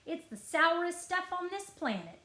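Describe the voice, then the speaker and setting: A woman's voice: extremely high pitch, very animated, moderate pace, pushed and bright, voice pushed up, heavily acted. A woman voicing a smug older brother, a rotten, teasing boy in a read-aloud storybook, with a sneering, taunting manner. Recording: roomy, echoing indoor sound.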